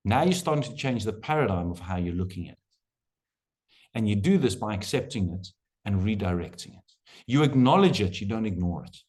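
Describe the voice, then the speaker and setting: A man's voice: fairly low pitch, animated, fairly quick, a little mellow. A man hosting an online coaching workshop, lecturing emphatically and repeating his key phrases.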